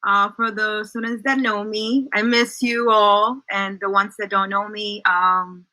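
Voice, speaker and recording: A woman's voice: medium pitch, steady tone, unhurried, bright, forceful tone, no room sound, rough recording. A young woman from El Salvador, a native Spanish speaker, chatting as an interview guest and often checking in with "right?". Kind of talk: casual storytelling.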